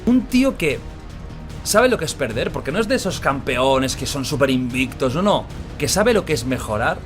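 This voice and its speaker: A man's voice: mid-range voice, very animated, normal speed, faint music behind. A man from giving casual, heartfelt commentary.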